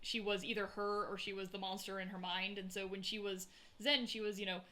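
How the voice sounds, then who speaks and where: A woman with a Southern accent, co-hosting a casual tabletop-gaming podcast and talking in a chatty, animated way. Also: mid-range voice, brisk, a little hiss or hum. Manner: chatty, many "like" fillers, animated storytelling. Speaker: podcast co-host. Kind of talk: casual chat.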